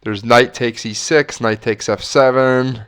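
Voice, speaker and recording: A man's voice: mid-range voice, steady tone, unhurried, fairly bright tone, smooth. Stuffy-nosed man musing over chess moves. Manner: musing, self-correcting, talks through moves. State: stuffy nose, slight cough. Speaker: chess streamer. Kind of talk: thinking aloud while playing chess.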